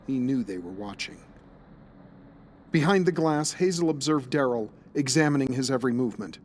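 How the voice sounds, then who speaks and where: A man's voice: medium pitch, a little hiss or hum. A man narrating a scripted dramatic story as its narrator.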